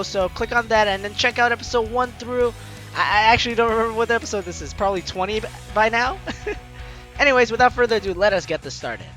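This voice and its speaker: A man's voice: high voice, pushed and bright. A young man hosting a let's play, reading game text aloud with informal, jokey asides. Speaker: host of a gaming let's play series. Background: game music.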